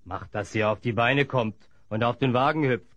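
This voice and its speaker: A man's voice: medium pitch, normal speed, a bit bright, dry recording, muffled recording, lightly acted. A man playing a menacing, gloating bandit chief and slave trader with a mocking drawl in a fantasy audio drama.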